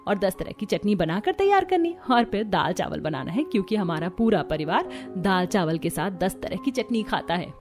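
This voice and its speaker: A woman's voice: mid-range voice, very animated, moderate pace, lightly acted. A woman voicing a stern, demanding middle-aged mother-in-law in a family story, with a commanding, scolding manner. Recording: music behind the voice.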